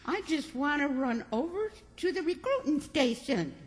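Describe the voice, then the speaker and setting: Woman acting as a man-hungry rural Southern spinster, high animated drawl, emphatic, radio comedy, roomy hall. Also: moderate pace. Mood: eager. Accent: rural Southern American. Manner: folksy, exclaiming, emphatic. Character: folksy, man-hungry country spinster, cheerfully brazen. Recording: stage performance in reverberant hall.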